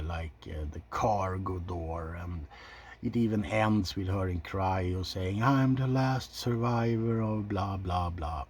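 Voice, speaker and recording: A man's voice: lowish voice, a little mellow. A man hosting an ASMR film ranking, chatting in an informal, rambling way with some mild swearing. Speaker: video host reviewing films.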